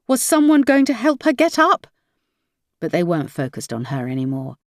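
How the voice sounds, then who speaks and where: A woman's voice: on the low side, very animated, normal speed, no echo, studio quality. A woman reading a crime novel aloud as scripted audiobook narration.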